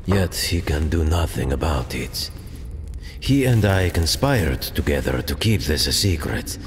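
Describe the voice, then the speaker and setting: An actor playing a grave, commanding ancient king in an audio drama, speaking in a slow, imperious and terse manner. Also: rumbling low, big pitch swings, a little hiss or hum, exaggerated voice. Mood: defiant. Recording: long hall reverb, music underneath.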